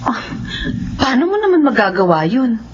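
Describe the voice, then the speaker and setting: A woman's voice: fairly high pitch, very animated, moderate pace. An actress playing a questioning, doubtful woman, talking conversationally in a Filipino radio drama. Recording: dull radio drama audio, faint noise.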